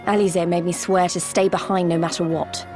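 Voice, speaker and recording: A woman's voice: lowish voice, flat delivery, fairly quick, darkish tone, lightly acted. A woman voicing an earnest, caring, hot-blooded female warrior in a video game. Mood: apologetic. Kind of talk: scripted video game voice acting. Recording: music behind the voice.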